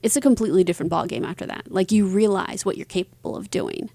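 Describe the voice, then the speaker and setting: A woman's voice: medium pitch, quick pace, vocal fry, mellow, dry recording. A woman speaking as an interview guest, answering in a casual, chatty, conversational way. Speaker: interview guest, author and publisher.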